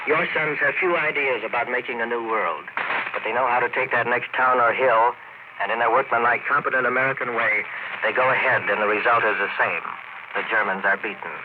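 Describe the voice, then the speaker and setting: A man delivering a scripted 1940s radio war report as a correspondent, in a measured, literary style. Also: medium pitch, fairly even intonation. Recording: noisy, dull 1940s shortwave radio recording.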